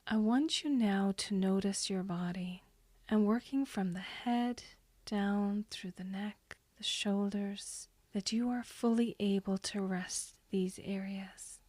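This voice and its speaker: A woman with a soft, breathy, whispery voice leading a guided hypnosis meditation in a soothing, gently instructing way. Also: mid-range voice, slow, dry recording. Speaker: hypnotherapist guiding a session.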